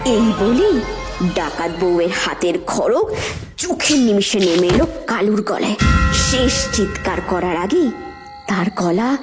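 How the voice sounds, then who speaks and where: A woman narrating a cartoon story in a dramatic, expressive way. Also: mid-range voice, unhurried, over loud music.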